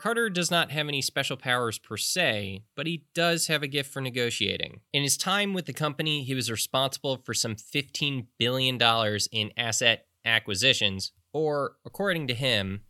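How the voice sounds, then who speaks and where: An actor playing a thoughtful public radio documentary host, narrating in a measured, inquisitive storytelling style. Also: male voice, mid-range voice, lively intonation, sharp tone, dry recording, studio quality. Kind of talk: scripted narration.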